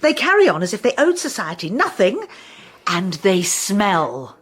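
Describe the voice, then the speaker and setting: An actress playing a prim, snobbish, disapproving veteran schoolteacher in a radio comedy, speaking in a clipped, deliberate, haughty way. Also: female voice, mid-range voice, very animated, slightly rough. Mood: scandalised disdain. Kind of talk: radio comedy drama acting.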